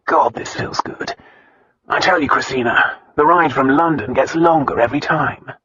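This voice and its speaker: A narrator voicing an easygoing, teasing aristocratic husband with a drawling, casual manner. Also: male voice, mid-range voice, moderate pace, slightly rough, no echo, muffled recording. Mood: amused. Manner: drawling, casual, softly amused.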